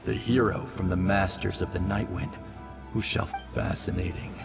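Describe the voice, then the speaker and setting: An actor playing a wry, dignified ancestral hero in a video game, speaking in a measured, reflective way. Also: male voice, low voice, steady tone, gravelly. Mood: solemn, wry. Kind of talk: scripted video game dialogue. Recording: long reverb, music underneath.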